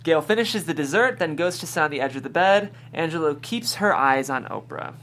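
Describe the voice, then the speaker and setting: Low-pitched woman reading stage directions. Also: expressive, fairly quick, fairly bright tone, slight background noise. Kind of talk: scripted narration at a table read.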